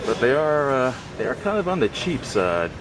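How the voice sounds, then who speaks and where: A man's voice: mid-range voice, very animated, moderate pace, crowd noise, poor audio. A man hosting his YouTube show, narrating in a casual vlog style. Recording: loud background noise.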